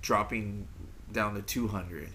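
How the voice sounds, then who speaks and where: A man's voice: lowish voice, slow pace, pushed and bright, a little hiss or hum. A man co-hosting a casual podcast, with a nasal voice and a laid-back, rambling way of talking. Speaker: podcast co-host. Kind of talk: casual chat.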